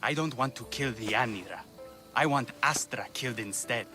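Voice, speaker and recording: A man's voice: medium pitch, normal speed, sharp tone, faint music behind, close to an everyday voice. An actor playing a grieving, vengeful man in a video game, solemn and bitter. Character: grieving, vengeful male musician who lost his brother. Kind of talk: video game dramatic acting. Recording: faint ambient noise.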